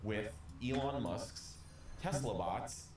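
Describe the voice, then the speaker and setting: Low-voiced man rambling in an echoey room. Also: expressive, far from the mic, over music. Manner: halting, many restarts and "you know". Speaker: video creator and podcast co-host. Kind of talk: casual podcast chat. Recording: echoey room with faint noise.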